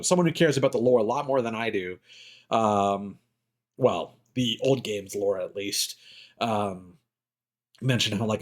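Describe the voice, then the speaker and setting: A man giving casual, rambling and opinionated commentary as a game livestreamer. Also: fairly low pitch. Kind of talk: casual gaming stream commentary. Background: music.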